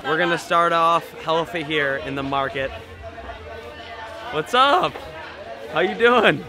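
A man's voice: a little high, lively intonation, slow. A young man vlogging to a handheld camera at an outdoor night market, chatty and upbeat. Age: young adult. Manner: upbeat, chatty, talks to camera and haggles with vendors. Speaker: travel vlogger. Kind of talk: casual travel vlog narration. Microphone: camera held at arm's length. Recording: loud crowd and street noise behind.

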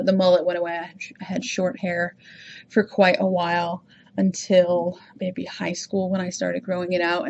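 A woman's voice: fairly low pitch, gravelly, muffled recording. A woman from Rhode Island with no regional accent, hosting a podcast and talking casually about herself with a chatty, self-reflective manner. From Rhode Island, USA. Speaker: podcast host. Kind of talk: casual personal monologue.

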